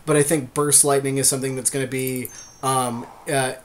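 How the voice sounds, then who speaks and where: A man's voice: medium pitch, flat delivery, moderate pace, a little hiss or hum. A man co-hosting a podcast, chatting casually and agreeably with lots of fillers. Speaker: podcast co-host. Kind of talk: casual card-draft chat.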